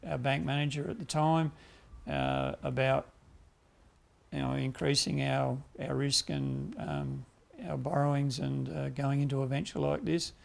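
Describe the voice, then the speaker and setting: A grain grower in his fifties with an Australian accent answers questions outdoors on a farm, speaking through a clip-on mic. His mid-range voice has a strong gravelly fry. He speaks in a measured way, often restarting and repeating words. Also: mid-range voice, flat delivery. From South Australia. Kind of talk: documentary interview answers.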